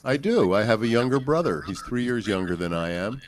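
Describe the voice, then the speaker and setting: A man's voice: mid-range voice, sing-song, brisk, a crowd in the background. A man in his eighties talking informally as he answers audience questions about his own memories. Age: 80s. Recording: slightly noisy live event recording.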